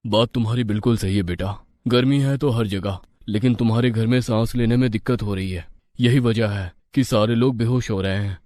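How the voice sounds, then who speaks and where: Man voicing a measured village doctor. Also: on the low side, a little mellow, dry recording, natural voice. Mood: calm, frank. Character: calm village doctor, reassuring and advising. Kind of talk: Hindi moral-story cartoon dub.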